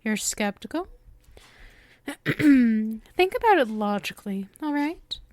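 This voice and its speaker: A sweet, possessive young witch played by a woman speaks in a high, unhurried voice, very close and dry. She is coy and teasing, doting on her listener in an intimate, theatrical ASMR roleplay style. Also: lively intonation, darkish tone, no echo. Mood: smug, sweetly sinister.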